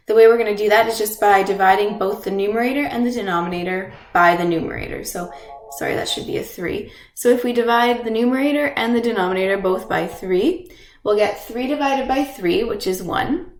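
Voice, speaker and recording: A woman teacher with a stuffy nose from a cold, patiently explaining fractions step by step and often checking in with "okay?". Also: medium pitch. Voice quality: nasal. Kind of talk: recorded math lesson. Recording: echoey room.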